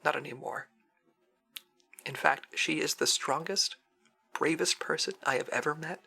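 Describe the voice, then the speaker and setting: An actor playing an earnest secret agent who records a solemn, dramatic farewell message in an audio drama. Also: male voice, fairly high pitch, moderate pace, vocal fry, sharp tone, slightly rough, faint music behind, full character voice. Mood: solemn, heartfelt. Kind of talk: audio drama acting, scripted monologue.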